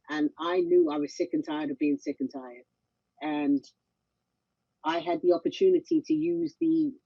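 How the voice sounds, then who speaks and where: A woman's voice: on the low side, husky, no echo, lo-fi sound. A woman calling into a group call by phone, giving an upbeat testimonial full of motivational sayings. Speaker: club member giving testimony.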